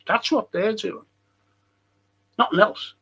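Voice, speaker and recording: A man's voice: sits high, slow pace, creaky, sharp tone, breathy edge. A man with a Liverpool accent hosting a casual livestream, rambling and repeating phrases for emphasis. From England. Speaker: livestream host. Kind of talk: casual livestream commentary reading viewer comments.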